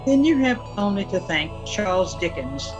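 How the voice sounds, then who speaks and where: A woman's voice: medium pitch, sing-song, moderate pace, muffled recording. A woman reading scripted closing announcements for a radio production. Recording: music underneath.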